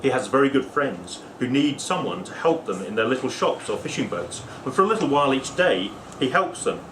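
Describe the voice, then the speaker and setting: A man's voice: medium pitch, very animated, fairly quick. A man speaking at a book launch, chatting casually with many fillers and restarts, then reading essay passages aloud. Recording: echoey room with background noise.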